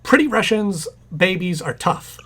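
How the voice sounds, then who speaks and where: A man's voice: sits high, expressive, measured pace, bright, forceful tone, slightly rough, heavily acted. A man playing a distracted, mild-mannered husband in a 1940s-style radio comedy, hesitant and stammering. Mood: flustered. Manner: hesitant, stammering, absent-minded.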